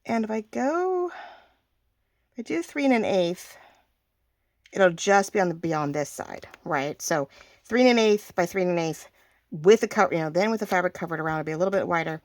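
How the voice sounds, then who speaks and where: A woman hosting a casual crafting tutorial, chatty and thinking aloud as she works, slightly hoarse and often clearing her throat. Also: lowish voice, expressive, fairly quick, darkish tone, dry recording. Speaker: craft video host.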